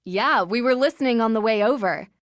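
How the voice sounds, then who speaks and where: Woman playing high-voiced, earnest young American detective. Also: a little fast, no room sound, studio quality, natural voice. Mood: curious, composed. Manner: polite, probing, clearly articulated. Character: young female amateur detective, earnest, inquisitive, persistent. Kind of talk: scripted video game dialogue.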